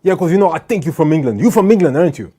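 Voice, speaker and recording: A man's voice: on the high side, very animated, very fast, dark tone, voice pushed up. A man voicing a tense female police officer who keeps pressing the same accusation as he retells an encounter. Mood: accusing. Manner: insistent, repeating accusations.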